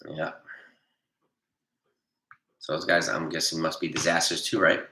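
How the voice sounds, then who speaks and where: A man hosting a casual livestream, reading viewer comments and answering them bluntly, nasal from allergies and a cold and often clearing his throat. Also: extremely low pitch, level tone, unhurried, bright, forceful tone, roomy sound. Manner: blunt, argumentative, defends his opinions. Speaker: livestream host.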